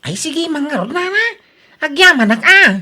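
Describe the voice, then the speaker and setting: A woman's voice: high voice, lively intonation, normal speed, pushed and bright, full character voice. A woman voicing a meek, embarrassed young husband in a radio drama, hesitant, with an Ilocano accent. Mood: polite, sheepish. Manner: hesitant, sheepish. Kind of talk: Filipino radio drama acting.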